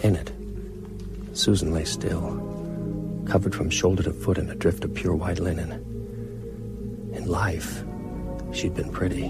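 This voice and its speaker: A man's voice: low voice, gravelly, full character voice. An actor playing a haunted, grief-stricken man narrating horror in a first-person radio drama. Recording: loud music underneath.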